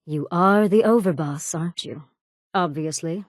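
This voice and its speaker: An actress playing a cold, menacing raider gang boss in a video game, with a cool, deliberate, sardonic delivery. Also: female voice, fairly low pitch, dry recording, clean studio sound. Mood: cold menace. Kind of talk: video game character dialogue.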